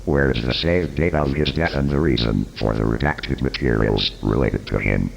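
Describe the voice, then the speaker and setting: A man's voice: a bass voice, normal speed, creaky. A man playing a persistent, probing interrogator who questions formally and insistently in a scripted horror interview.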